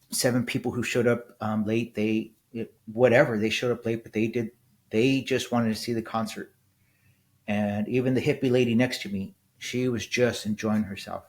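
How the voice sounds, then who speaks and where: A man in his fifties, a military veteran hosting a casual solo podcast, talking bluntly and rambling, often ending points with "right?". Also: fairly low pitch. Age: 50s. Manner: blunt, rambling, frequent restarts and "right?" tags.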